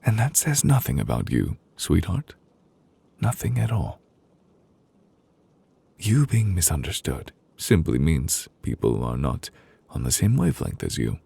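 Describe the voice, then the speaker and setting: A man playing a gentle, protective male lover in an intimate ASMR roleplay, soothing and deliberate, with soft pauses and close-up delivery. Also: very deep voice, very animated, gravelly, slightly rough, heavily acted. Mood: tender, reassuring. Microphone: close, dry studio microphone.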